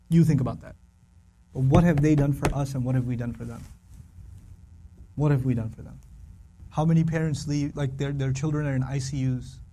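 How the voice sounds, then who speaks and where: A man's voice: medium pitch, dark tone, slight background noise. A man giving an earnest, conversational religious talk to young people, often repeating phrases for emphasis. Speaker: Islamic speaker.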